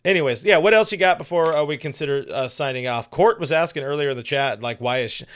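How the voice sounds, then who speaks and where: A man's voice: a little high, quick pace, a bit bright, clear, resonant tone. A man co-hosting a sports podcast in casual, joking chat, with lots of filler words as he talks. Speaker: podcast co-host.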